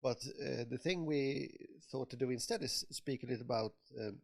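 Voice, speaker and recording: Swedish male co-host, gravelly voice, hesitant and halting. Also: mid-range voice, a little mellow. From Sweden. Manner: hesitant, many fillers and pauses. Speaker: podcast co-host. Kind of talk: casual chat.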